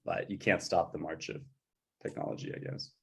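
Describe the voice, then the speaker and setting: A man in an informal chat about music production, tentatively working through his ideas out loud. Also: deep voice, steady tone, a little fast, gravelly, slightly rough. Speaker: composer for audio drama.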